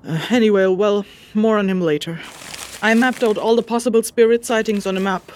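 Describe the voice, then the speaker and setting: An actress playing a practical, slightly exasperated scholar-investigator in a fantasy audio drama, speaking in a dry, matter-of-fact manner with hesitant asides. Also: female voice, medium pitch, a little mellow, lightly acted. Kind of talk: immersive fantasy audio drama, spoken journal.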